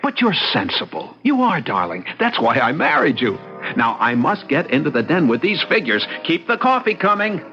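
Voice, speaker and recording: A preoccupied, ambitious husband, an earnest man who soothes as he talks. His pitch moves widely in vintage radio acting style. The recording is dull, with faint music behind. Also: sing-song, moderate pace. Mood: placating. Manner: earnest, placating. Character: ambitious, preoccupied husband, rising education official. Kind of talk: old-time radio drama acting. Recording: muffled old radio recording.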